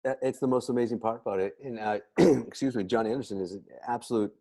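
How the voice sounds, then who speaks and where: Low-voiced man in casual product talk. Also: fast talker, a little mellow, dry recording.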